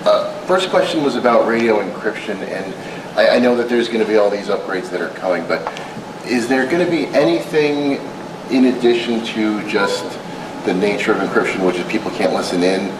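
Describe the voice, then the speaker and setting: A man's voice: fairly low pitch, animated, brisk. A man working as a reporter, asking casual questions at a press conference. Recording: echoey noisy room, distant from mic.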